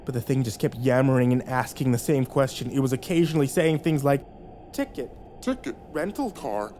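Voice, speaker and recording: A man narrating a first-person horror story, hushed and tense, with occasional acted lines. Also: mid-range voice, flat delivery, quick pace, slight background noise.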